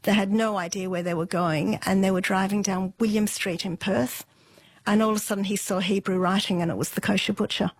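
A middle-aged woman, telling stories conversationally and matter-of-factly, with thoughtful pauses. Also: medium pitch, steady tone, slightly rough, rough recording. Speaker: interview guest. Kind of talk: conversational family storytelling.

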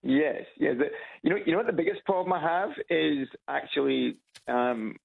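Gravelly Edinburgh Scot on a phone line. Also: male voice, a little high, normal speed. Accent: Edinburgh Scottish. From Scotland. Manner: hesitant, repeats words, chuckles at own stories. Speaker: caller. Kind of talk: casual phone chat. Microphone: phone on loudspeaker.

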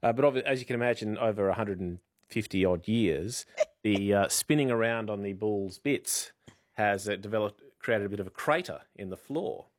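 Chatty man, low voice, dry studio. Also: normal speed, dry recording. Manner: chatty, playful storytelling with frequent ums. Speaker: radio news presenter. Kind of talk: casual radio banter and light news. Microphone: studio microphone.

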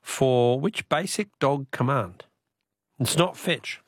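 A man's voice: mid-range voice, takes it slowly, no echo, studio quality. An Australian man hosting a live phone-in radio quiz, chatting with callers in a jokey, bantering way.

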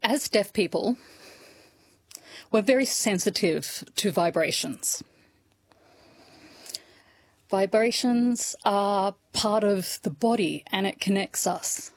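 Woman, live interpreter, slow, measured first-person delivery, dry close sound. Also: mid-range voice, dry recording. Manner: measured, reflective, speaks in first person for the guest. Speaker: Auslan interpreter voicing a deaf guest.

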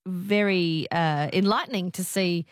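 A woman's voice: on the low side, a little slow, no room sound, studio quality. A middle-aged woman hosting a casual radio quiz, chatty and friendly, laughing often as she teases callers.

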